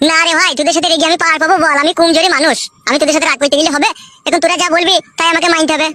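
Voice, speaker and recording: Stubborn cartoon village youth played by a woman: very high voice, rattling pace, crude back-talk, rural West Bengal accent. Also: steady tone, racing, sharp tone, no room sound. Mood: sulky submission. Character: stubborn, sulky young village man, defiant bigamist. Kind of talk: comic cartoon dialogue.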